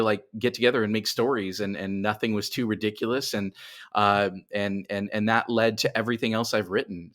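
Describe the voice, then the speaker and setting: A man's voice: lowish voice, fairly bright tone. A middle-aged man talking casually as a writer being interviewed, animatedly explaining things and often repeating words as he goes. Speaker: writer and podcast guest.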